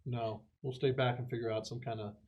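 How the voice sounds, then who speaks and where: A man's voice: medium pitch, little pitch movement, fairly quick, phone-quality sound. A man playing a tabletop roleplaying game, talking plans through casually with the group.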